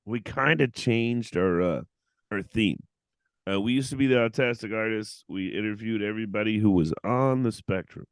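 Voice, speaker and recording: A man's voice: on the low side, moderate pace, smooth, no room sound. Joking, crude-mouthed male podcast host. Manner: joking, crude teasing, breaks into mock voices and laughter. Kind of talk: casual podcast chat.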